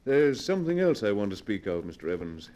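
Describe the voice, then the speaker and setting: A man's voice: fairly low pitch, big pitch swings, a little fast, warm tone. A man acting in an old-time radio drama as a formal, courteous, lonely recluse, genteel and insistent with a faintly sinister air. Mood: insistent, pleased.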